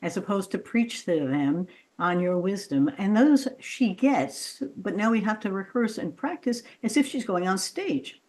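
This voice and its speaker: A woman's voice: medium pitch, animated, unhurried, a little mellow. Woman host, explanatory and thoughtful. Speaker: podcast host and coach. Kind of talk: interview conversation.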